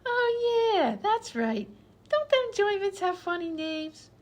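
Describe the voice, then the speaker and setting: Woman as a very high, scatterbrained chatterbox. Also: sing-song, slow, heavily acted. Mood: chatty, scatterbrained. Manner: chatty, easily distracted. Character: chatty, scatterbrained female hospital patient. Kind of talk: comedy radio sketch acting.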